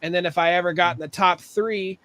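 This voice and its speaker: A man's voice: high-pitched, steady tone, fairly bright tone, no echo. A man co-hosting a casual podcast, rambling and joking with his friends. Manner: rambling, joking, quick to laugh. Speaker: podcast co-host. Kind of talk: casual chat.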